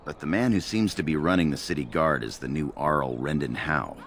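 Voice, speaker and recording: An actor playing a market merchant in a video game, with a salesmanlike, matter-of-fact manner. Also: male voice, rumbling low, fairly quick. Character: male market merchant hawking arms and armor.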